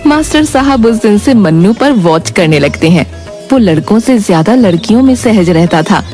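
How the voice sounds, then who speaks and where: Casting: female narrator for a children's moral tale, mid-pitched, faint music bed. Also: lively intonation, moderate pace, warm tone. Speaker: storyteller. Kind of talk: scripted moral story narration.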